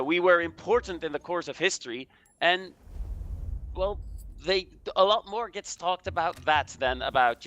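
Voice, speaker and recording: A man's voice: mid-range voice, a bit bright. A man gives casual commentary on history, hedging and thinking aloud as he goes. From Belgium. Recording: clearly noisy background.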